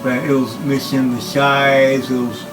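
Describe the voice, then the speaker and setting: A man giving an informal, halting talk about equipment as a hobbyist presenter, speaking in short phrases. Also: mid-range voice, level tone, over music. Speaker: hobbyist presenter showing his tape machines.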